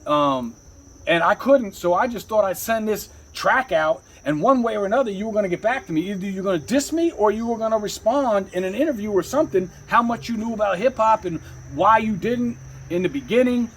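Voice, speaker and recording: A man with a husky voice telling stories casually as a rapper being interviewed, rambling and slangy, often saying "you know.". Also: high-pitched, animated, brisk, a little hiss or hum, poor audio.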